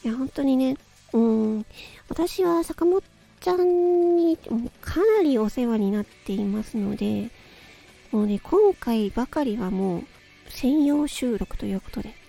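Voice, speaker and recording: High-voiced woman hosting a chatty solo show. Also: gravelly, warm tone. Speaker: host of a personal audio show. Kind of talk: chatty solo audio broadcast, birthday tribute.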